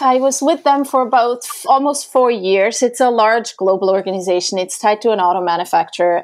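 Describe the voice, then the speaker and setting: A middle-aged woman from Switzerland telling her story in a chatty way on a casual panel conversation, laughing often. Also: on the high side. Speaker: transport organisation founder.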